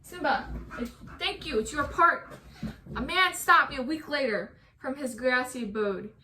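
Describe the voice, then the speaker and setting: Bright, high-voiced animated woman author. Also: measured pace, slight background noise. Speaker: author reading own poem. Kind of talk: casual chat and poem reading to camera.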